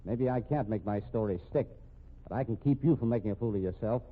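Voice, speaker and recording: An actor in a 1950s radio mystery playing a wry, weary police captain with a dry, firm, slangy way of talking. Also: male voice, medium pitch, quick pace, close to an everyday voice. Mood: accusing. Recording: tinny band-limited old radio recording.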